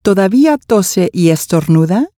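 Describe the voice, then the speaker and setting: A woman reading scripted language-course dialogue, enunciating each phrase clearly. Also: mid-range voice, animated, measured pace, mellow, no room sound, studio quality.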